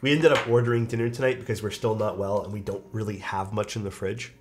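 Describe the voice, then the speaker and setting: A man's voice: lowish voice, steady tone, quick pace, background noise. A young man with a stuffed-up, nasal voice, chatting casually on a live stream while he builds a keyboard and reads out chat. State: stuffed-up nose.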